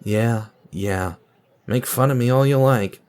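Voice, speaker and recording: A man's voice: mid-range voice, measured pace, darkish tone, lightly acted. A man playing a bland, awkward guy in his twenties in an audio drama, with a hesitant, earnest manner. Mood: troubled, earnest. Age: 20s.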